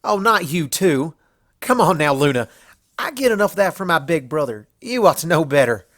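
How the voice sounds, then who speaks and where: Man putting on a feisty, higher-pitched farm pony gal. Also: expressive, moderate pace, a bit bright, no room sound, clean studio sound. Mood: exasperated. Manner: folksy, informal country phrasing. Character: plainspoken, feisty young female farm pony. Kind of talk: fan fiction character reading.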